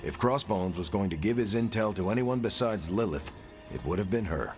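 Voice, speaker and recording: A man playing a steady, earnest soldier-hero. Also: lowish voice, soft background music, lightly acted. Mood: firm. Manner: calm, measured, earnest. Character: steady, dutiful male soldier-hero leader. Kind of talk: video game cutscene.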